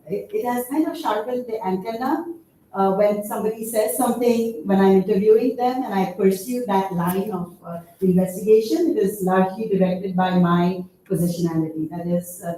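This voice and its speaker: Woman in her 50s talking at a conference, loud but halting, super echoey room, faint hum behind. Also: medium pitch. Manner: halting, many fillers. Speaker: researcher presenting at a conference. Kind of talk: conference presentation. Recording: echoey hall, voice far from mic.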